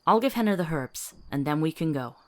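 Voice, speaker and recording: A woman's voice: low voice, animated, a little fast. A woman playing a principled, capable healer in a fantasy drama, articulate, authoritative and dryly witty. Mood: practical. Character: firm, principled female healer, capable and wry. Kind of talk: fantasy audio drama acting.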